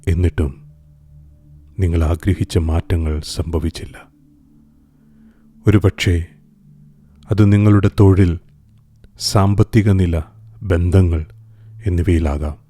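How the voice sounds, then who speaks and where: A man guiding a scripted meditation in a calm, soothing, gentle manner, pausing deliberately between phrases. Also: low-pitched, no echo. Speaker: meditation guide. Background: music.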